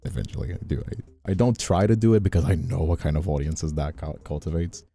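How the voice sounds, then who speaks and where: Male streamer from Brazil, Portuguese native, very dark husky tone, chatty and casual, soft music behind. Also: low voice, fairly quick. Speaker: streamer and voice actor, hosting. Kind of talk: casual livestream chat.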